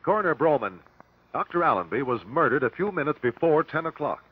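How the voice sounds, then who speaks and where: An actor playing a persistent examiner at an inquest, asking formal, clipped questions in an old radio drama. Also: male voice, a little high, sing-song, brisk, breathy edge. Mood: probing, formal. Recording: dull, dry old radio recording.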